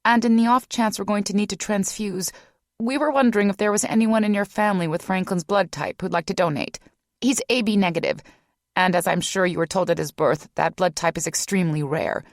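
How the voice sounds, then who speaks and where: A woman voicing a cheerful, businesslike hospital nurse who speaks in a bright, chirpy way. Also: mid-range voice, a little fast, no echo, clean studio sound, pitched above the performer's own voice, close to an everyday voice.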